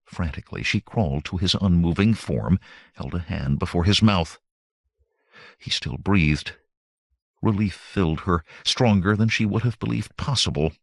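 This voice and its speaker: A man's voice: low-pitched, moderate pace, gravelly, no room sound, studio quality. A man narrating a scripted fantasy novel as an audiobook reader.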